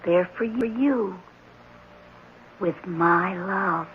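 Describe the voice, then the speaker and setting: An actor playing a devoted, eerily calm young boy in a 1970s radio drama, speaking deliberately in a singsong way. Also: medium pitch. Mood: adoring, eerie. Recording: muffled, hissy old radio recording.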